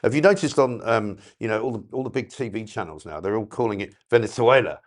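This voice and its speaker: A man hosting a breakfast talk show, chatting briskly with sardonic asides. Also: lowish voice. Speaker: radio talk show host. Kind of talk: casual breakfast show chat. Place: London studio.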